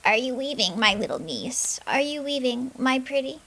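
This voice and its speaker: A woman voicing a wicked old witch with iron teeth in a storybook, speaking slowly and slyly. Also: sits high, animated, background noise, pitched above the performer's own voice. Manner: sly, slow and menacing.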